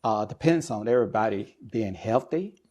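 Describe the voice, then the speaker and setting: A middle-aged man speaking as an expert interview guest, explaining things in a measured, deliberate way with pauses between phrases. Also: on the low side, expressive, a little mellow. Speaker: guest expert, air traffic controller and business executive.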